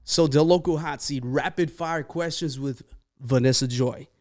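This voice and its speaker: A man's voice: on the high side, normal speed. A man hosting a podcast interview in a studio. He talks in an upbeat, chatty way and often echoes his guest's answers back. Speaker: podcast host. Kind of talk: casual interview chat.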